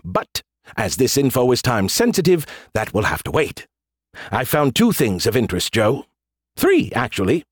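A man's voice: mid-range voice, huge pitch swings, normal speed, husky, dry recording, studio quality. A man voicing a boastful, petulant artificial intelligence in an audiobook, sarcastic and easily offended. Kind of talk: audiobook character dialogue.

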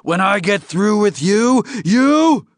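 A man's voice: high-pitched, big pitch swings, slow, no room sound, studio quality, voice pushed up, full character voice. A man voicing a large, hot-tempered lizard-like alien delegate in an audiobook, bellowing and snarling his demands. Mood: explosive fury.

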